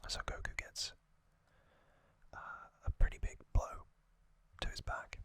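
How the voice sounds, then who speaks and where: A man whispering gently and breathily as an ASMR host, recapping a story in unhurried, halting phrases close to the microphone. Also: no echo.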